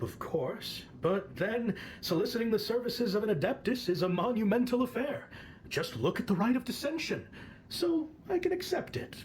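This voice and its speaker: An actor playing a fussy, deferential merchant in a video game, chatty and wordy with hesitant starts. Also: male voice, sits high, darkish tone. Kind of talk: video game character dialogue.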